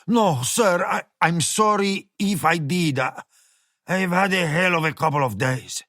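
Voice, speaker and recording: A man's voice: high voice, measured pace, sharp tone, no echo, studio quality, voice pushed up. A man voicing a fat, frightened male restaurant manager who stammers and swears. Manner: stammering, nervous, profane.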